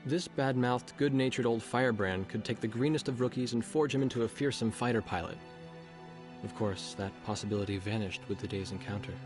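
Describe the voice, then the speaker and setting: A man playing a reflective war photojournalist, narrating past events in a measured, somber way for a cinematic game. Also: mid-range voice, close to an everyday voice. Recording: music behind the voice.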